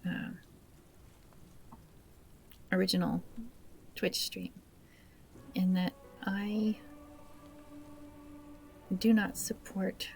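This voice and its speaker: A woman's voice: lowish voice, creaky, a little mellow. A woman hosting a gaming video with casual, awkward, self-deprecating commentary, speaking hesitantly. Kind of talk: casual let's play commentary.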